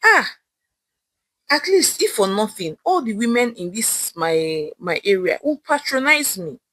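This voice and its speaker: Animated, hopeful young Nigerian woman, acted by a storyteller. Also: fairly high pitch, measured pace, dry recording, heavily acted. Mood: excited. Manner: exclamatory, talking to herself. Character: excitable, hopeful young woman seeking honest work.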